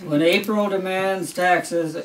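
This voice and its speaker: A man's voice: high voice, fairly even intonation, slow pace, fairly bright tone, faint music behind. An older man, a grandfather, reads a Christmas story aloud at a family home in a wry, amused storytelling manner. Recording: roomy, distant home recording.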